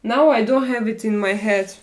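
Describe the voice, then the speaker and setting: A woman from Russia, hosting a casual live stream chat and searching for her words in halting English. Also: fairly high pitch.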